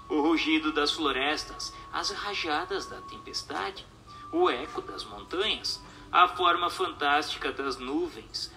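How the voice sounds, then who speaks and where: Sharp, forceful male narrator, dramatic intonation. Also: fairly high pitch. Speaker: narrator and questioner. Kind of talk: scripted dramatized reading of a book.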